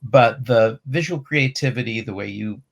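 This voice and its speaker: A man moderating a film Q&A in a conversational, measured way, with hesitant pauses between phrases. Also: mid-range voice, gravelly. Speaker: Q&A moderator, interviewer. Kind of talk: conversational film festival interview.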